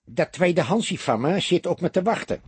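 A man's voice: high voice, animated, normal speed, breathy edge, no echo, voice pushed up, full character voice. A man voicing a cheeky, defensive small-time crook with colloquial, grumbling talk in an audiobook. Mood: impatient, indignant.

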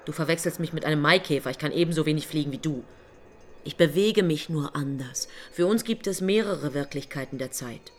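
Actress as low-voiced, earnest angel. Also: female voice, a little fast, natural voice. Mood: agitated, protesting. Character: female angel, earnest, plain-spoken companion of the prophet. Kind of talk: literary radio play acting.

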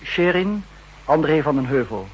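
A husky, fairly high male voice in a formal 1960s radio announcing style. He reads credits at a very slow, orderly pace. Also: animated, highs cut off.

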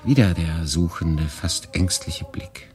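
A man acting a guarded, quietly jealous refugee who recounts his exile in a German radio drama. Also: deep voice, slow, soft background music. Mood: brooding.

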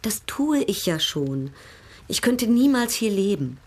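An actress playing a proud, worldly, coquettish baroness in a radio drama, speaking in a cultivated and slightly affected way. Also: female voice, medium pitch, very animated, measured pace, darkish tone, breathy edge. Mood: torn, capricious.

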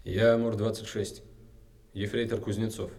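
A man plays a young corporal at the wheel in a radio drama. His low voice echoes beside vehicle noise, and his replies are terse, military and under strain. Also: little pitch movement, normal speed, a little mellow, lightly acted. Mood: dutiful, strained. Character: strained young soldier, a corporal driving munitions in danger. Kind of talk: Soviet radio drama acting.

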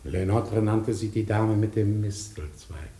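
An actor playing a courteous, haunted returned emigrant in a German radio drama, speaking deliberately and theatrically. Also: male voice, on the low side, warm tone, a little hiss or hum. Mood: wistful.

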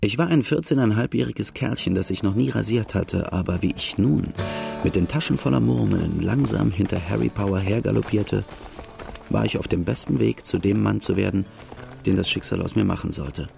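Radio drama: outlaw recalling boyhood, very gravelly, wry, reflective narration over faint music. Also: male voice, lowish voice, moderate pace. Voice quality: very creaky, gravelly.

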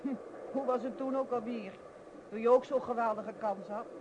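An actress playing a middle-aged, sharp-tongued wife in a radio play, scolding her husband with exasperated sarcasm. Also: a little high, fairly even intonation, moderate pace, highs cut off, close to an everyday voice. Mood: scornful sarcastic. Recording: noisy old radio play recording.

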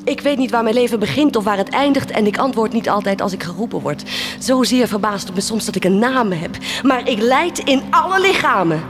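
A woman's voice: on the high side, lively intonation, brisk, over music, natural voice. An actress playing a proud, compassionate woman of the poor in a Dutch radio play, solemn and impassioned. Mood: bitter, solemn.

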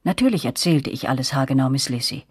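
Eine Schauspielerin spricht die nachdenkliche Ich-Erzählerin eines Hörspiels, gemessen und erzählend. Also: female voice, a bass voice, lively intonation, slightly rough, no room sound, full character voice. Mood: pensive. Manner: measured, reflective storytelling. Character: reflective woman narrator recalling her troubled girlhood. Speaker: first-person narrator. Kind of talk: scripted radio drama narration. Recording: dry studio with music underneath.

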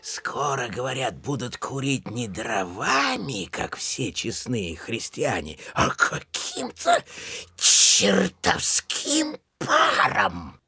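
A jovial village distiller in a radio drama. He teases in a wheezy, chuckling drawl, slow and swooping up and down in pitch. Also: wildly swooping pitch, pushed and bright, breathy edge, no room sound, studio quality, full character voice. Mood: amused wonder. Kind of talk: Russian audio play acting.

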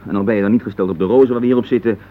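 An actor playing an easygoing, soothing husband in a Dutch radio play, speaking hesitantly. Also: male voice, on the low side, steady tone, lightly acted. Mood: teasing, cheerful. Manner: hesitant, halting, chuckling between phrases. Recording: tinny, noisy old radio recording.

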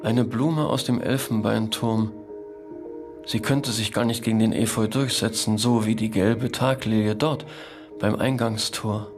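A man's voice: lowish voice, fairly even intonation, a little slow, music in the background. An actor playing a musing man in a scripted radio drama.

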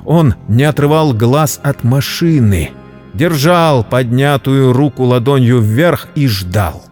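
A man narrating a scripted story dramatically, in a solemn, measured literary manner. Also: mid-range voice, darkish tone. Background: background music.

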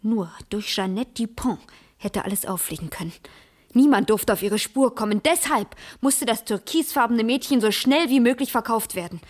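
An actress playing a sharp, self-assured female private detective in a 1980s East German radio crime drama, with deliberate delivery. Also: fairly high pitch, lightly acted. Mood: accusing.

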